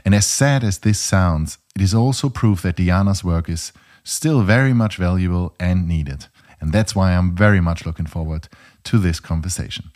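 Man, podcast host, very low voice, measured, formal. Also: darkish tone. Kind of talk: scripted intro then interview questions.

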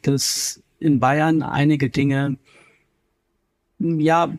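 A man from northern Germany answering as an interview guest, explaining things at length in a measured, earnest way. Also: fairly high pitch, flat delivery. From Kiel, northern Germany. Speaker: interviewed guest, healthcare executive and author.

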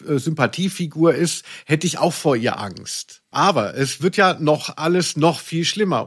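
Casting: male podcast co-host, slightly high register, casual, ironic, theatrically exaggerated. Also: fairly bright tone. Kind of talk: casual comedic podcast chat about audio dramas.

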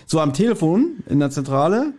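Lockerer deutscher Podcaster, höhere Männerstimme. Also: male voice, fairly high pitch, big pitch swings, brisk, darkish tone. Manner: colloquial, acts out quotes animatedly. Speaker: podcast co-host. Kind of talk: casual audio drama recap chat.

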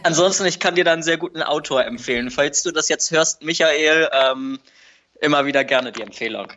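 A man's voice: on the high side, brisk, pushed and bright. A young man around nineteen co-hosting a podcast in casual chat, confident and teasing. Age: young adult. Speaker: podcast co-host. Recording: slightly dull lo-fi sound.

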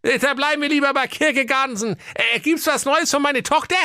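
Silly old king, squeaky and bright, hems and haws a lot, pompous. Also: a little fast, dry recording, studio quality. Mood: flustered. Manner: squeaky, flustered, full of ums and ahs. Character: bumbling, pompous old male king, forgetful.

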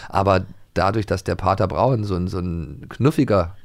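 A man's voice: low voice, moderate pace, vocal fry, no echo, studio quality. A man co-hosting a casual chat about audio dramas, informal and often repeating words as he forms his thoughts. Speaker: podcast co-host.

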